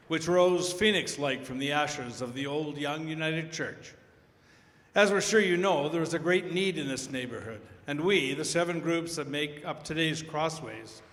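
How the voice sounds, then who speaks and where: A man's voice: fairly high pitch, fairly bright tone. A man presenting a prepared sponsor message at a live event in a large hall. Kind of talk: prepared scripted announcement. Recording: echoey hall with long reverb.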